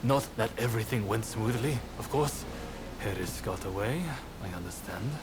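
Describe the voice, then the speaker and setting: A man playing an earnest, level-headed samurai rebel in a video game cutscene, speaking in a measured, sober way. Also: lowish voice, background noise, close to an everyday voice. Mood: concerned.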